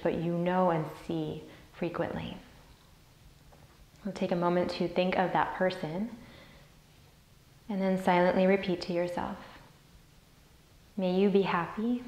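A woman leading a guided meditation as a teacher, soothing and deliberate as she repeats phrases. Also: on the low side, a little mellow. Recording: roomy, echoey sound.

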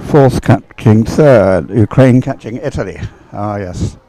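A man commentating live on a race as a sports commentator, unhurried and halting, in short phrases. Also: lowish voice, mellow, no echo.

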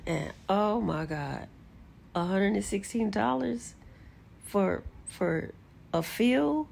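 A middle-aged woman with a low, gravelly voice and a congested, stuffy sound, chatting to her phone while sitting on a bed. She talks in a relaxed, candid way and now and then jumps up in exasperation. A faint hiss sits behind her. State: congested from allergies. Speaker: vlogger. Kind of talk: casual chat to camera. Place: bedroom, sitting on a bed indoors. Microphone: phone camera held close.

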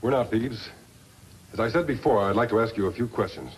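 An actor playing an upright masked lawman in a 1950s radio western, crisp, deliberate and authoritative. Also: lowish voice, lightly acted. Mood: firm, calm. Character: upright masked lawman hero, calm and commanding. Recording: noisy vintage 1950s radio recording.

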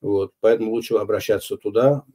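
Slow, measured older man. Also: lowish voice, fairly even intonation, a crowd in the background. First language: Azerbaijani. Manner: measured, unhurried, frequent hesitations. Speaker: interview guest. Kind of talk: recorded online interview answers.

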